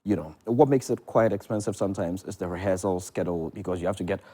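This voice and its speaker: Low-pitched Ghanaian man, interview guest. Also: fast talker, dark tone. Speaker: theatre producer and director, interview guest. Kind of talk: conversational TV interview. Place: television studio.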